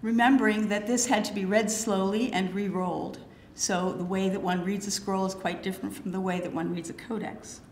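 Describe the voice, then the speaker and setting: Hoarse, gravelly woman in her 60s, curator keynote, roomy hall sound, faint room noise. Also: medium pitch, steady tone, darkish tone. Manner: measured, slightly halting delivery with fillers. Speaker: curator giving a keynote lecture. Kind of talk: prepared lecture. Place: lecture hall with wood paneling. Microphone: podium microphone.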